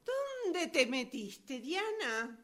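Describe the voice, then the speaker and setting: A woman's voice: extremely high pitch, big pitch swings, very slow, a bit bright, full character voice. A woman with a Rioplatense Argentine accent acting in an amateur radio play as an exasperated, bossy friend on a hike, impatient and nagging. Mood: exasperated calling out.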